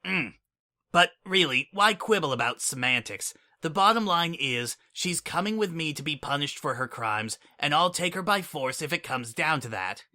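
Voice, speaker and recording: Brief: a man doing a cocky mare secret agent for a storybook reading, raised bright voice, breezy and mocking. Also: a little high, lively intonation, moderate pace, pitched above the performer's own voice. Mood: smug. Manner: flippant, casual and mocking. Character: brash, irreverent mare spy, smug and flippant. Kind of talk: fan dramatic audiobook reading.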